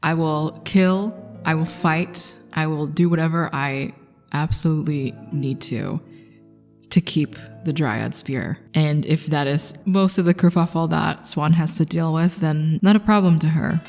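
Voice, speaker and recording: Woman with gravelly voice, narrating intensely. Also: low voice, a little slow, a little mellow. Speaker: player in a tabletop game podcast. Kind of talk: dramatic improvised story narration. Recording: long reverb under music.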